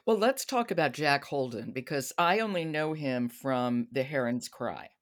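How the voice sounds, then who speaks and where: A woman's voice: rumbling low, lively intonation, normal speed. Woman hosting a book podcast. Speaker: podcast host. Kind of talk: conversational hosting.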